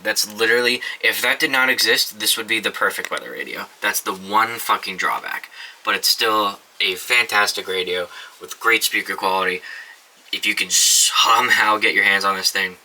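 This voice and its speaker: A man's voice: fairly low pitch, normal speed, bright, forceful tone, poor audio. Casual young man showing off radios. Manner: offhand, swears casually, frequent ums and restarts. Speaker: weather radio collector reviewing his collection. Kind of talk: casual show-and-tell review.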